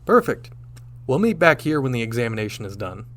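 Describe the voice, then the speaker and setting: An actor playing a firm, wryly sardonic starship captain in a sci-fi audio drama, speaking crisply. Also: male voice, mid-range voice, very animated, fairly quick, a bit bright. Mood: composed. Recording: clear background noise with hum.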